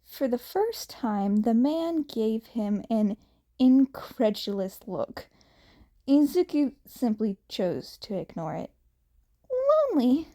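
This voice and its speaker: A woman reading fan fiction aloud as an expressive narrator, with halting, deliberate pauses. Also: a little high. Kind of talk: expressive scripted story narration.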